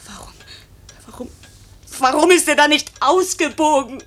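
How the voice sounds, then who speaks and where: An actress playing a pilot's anxious wife, pleading and accusing in urgent questions, in 1950s German radio drama style. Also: female voice, high-pitched, moderate pace, fairly bright tone, slight background noise. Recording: 1950s radio play recording.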